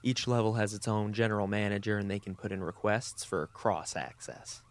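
A man's voice: on the low side, normal speed, lightly acted. A man playing a cocky, teasing seasoned scientist in an audio drama, talking in a casual, slangy way. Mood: teasing, relaxed.

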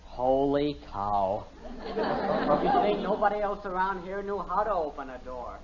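Teenage son: whiny, emphatic, very lively pitch, vintage radio sitcom, dull sound. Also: male voice, unhurried, over a noisy crowd, heavy background noise. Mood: eager, put-upon. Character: defensive, protesting teenage son. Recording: muffled old radio, audience behind.

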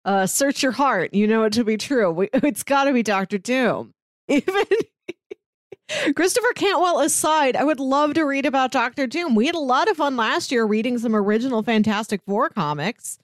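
Woman, podcast co-host, slightly high voice, dry close sound, casual chat. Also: expressive, normal speed, no room sound.

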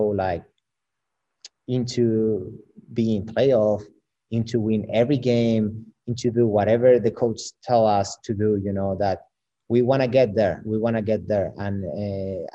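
A man whose first language is Spanish, a professional soccer player answering interview questions in English, often halting to search for words. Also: on the low side, warm tone, muffled recording.

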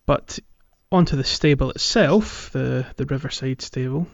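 A man's voice: medium pitch, measured pace, vocal fry, highs cut off. A man hosting a casual podcast chat about a video game, often repeating and restarting words as he explains. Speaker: podcast host. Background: music.

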